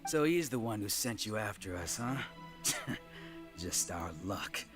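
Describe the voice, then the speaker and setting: A man's voice: lowish voice, big pitch swings, unhurried, music in the background. A man voicing a boastful male bandit leader in a game, swaggering and self-important. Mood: wheedling. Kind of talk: game character dialogue.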